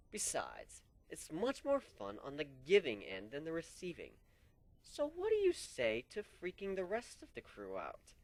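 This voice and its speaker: Brief: man voices stern male griffin captain, unhurried, cruel calm, animated delivery. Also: on the high side, dry recording, heavily acted. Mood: sly. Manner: unhurried, lecturing, coolly amused.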